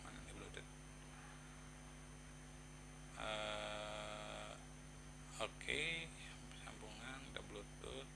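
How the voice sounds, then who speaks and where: A man chats casually to viewers in a product review, halting and thinking aloud in slangy, informal speech. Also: lowish voice, vocal fry, background noise.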